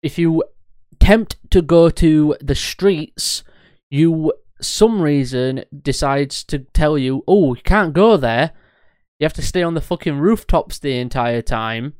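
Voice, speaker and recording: A young man in his twenties talks through a casual podcast chat, rambling and animated and swearing freely. His voice is smooth and clear. The sound is dry and close. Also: fairly high pitch, slow, no echo. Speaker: podcast co-host.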